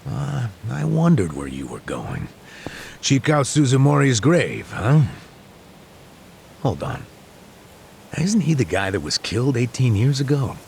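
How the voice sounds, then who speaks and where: An older man with an American accent playing a wry, nosy, unhurried detective who needles people, in a video game. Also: medium pitch, huge pitch swings, creaky, a little mellow, slight background noise, heavily acted. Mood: teasing, curious. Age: older, near retirement. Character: needling, unhurried old male detective tailing an ex-con. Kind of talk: English video game dub acting.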